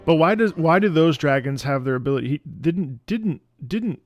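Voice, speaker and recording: A man's voice: on the high side, expressive, moderate pace, a little mellow, no echo, dull audio. A man giving casual, offhand and jokey live commentary while playing a game, thinking aloud. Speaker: streamer playing a video game. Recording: faint game music behind.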